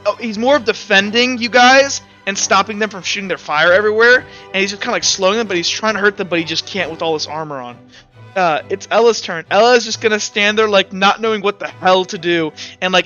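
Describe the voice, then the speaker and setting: A young man runs a tabletop game as its game master, his narration rambling and full of "like" fillers. His voice sits high and sounds crisp and bright, while the recording itself is dull and lo-fi with a little music underneath. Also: a little fast. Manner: rambling, heavy use of "like".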